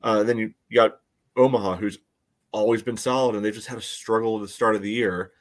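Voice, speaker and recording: Male sports podcast co-host, lower register, conversational and halting, padding his analysis with filler phrases. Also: fairly even intonation. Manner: hesitant, filler-heavy phrasing with frequent "you know". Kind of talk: casual soccer analysis chat.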